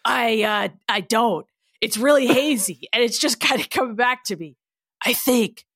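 A woman voicing a gruff male brawler with claws, growly and folksy in a tough-guy way, for a story reading. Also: a little high, normal speed, fairly bright tone, dry recording, clean studio sound. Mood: dazed. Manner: folksy tough-guy speech, says bub.

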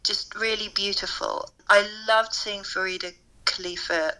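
A soft-spoken, middle-aged woman, a fashion lecturer, chatting enthusiastically with a strained, tired voice. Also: mid-range voice, steady tone, a little slow, low-quality recording. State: voice strained from teaching. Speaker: fashion lecturer and podcast co-host. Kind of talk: casual conversation.

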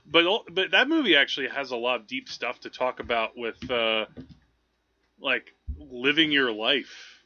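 A man hosting a film podcast, chatting casually in a rambling, halting way as he thinks aloud. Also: medium pitch, expressive, bright, forceful tone, dull audio. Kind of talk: casual movie discussion.

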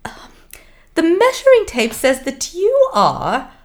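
A woman voicing a brisk, whimsical nanny in a comedy sketch. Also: very high voice, huge pitch swings, full character voice. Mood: prim.

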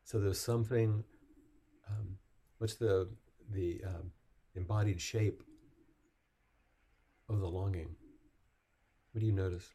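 Soft-spoken low-voiced man leading a group. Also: steady tone, slow, long pauses, slightly rough. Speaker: meditation teacher leading a group. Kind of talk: gentle guided group discussion.

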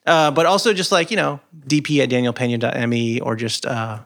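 A man of about thirty, guesting as a writer on a podcast, rattles along quickly. His talk is loose and casual, packed with fillers and easy laughs. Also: medium pitch, steady tone, fairly bright tone. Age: 30s.

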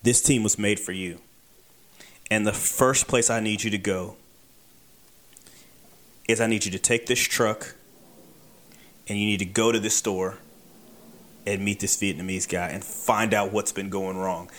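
A man with a somewhat low voice spins a casual workplace anecdote. He acts out each exchange, voicing the other people as he goes. His delivery is animated and conversational. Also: unhurried, pauses often. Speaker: beer delivery storyteller.

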